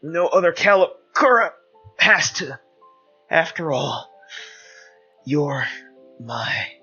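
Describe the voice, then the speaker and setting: A man's voice: fairly high pitch, expressive, slow, bright, forceful tone, breathy edge, lo-fi sound, faint music behind, voice pushed up, full character voice. A man voicing a brave, protective young blacksmith in a fantasy audio drama, sounding strained and wounded. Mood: urgent, dying.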